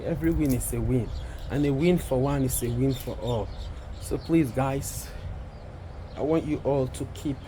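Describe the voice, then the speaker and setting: A young man with a husky voice, hoarse from a sore throat, chatting casually and playfully to his vlog viewers as he rambles along. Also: mid-range voice, dark tone, a little hiss or hum. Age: young adult. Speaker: vlogger, content creator. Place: outdoors, quiet residential estate street.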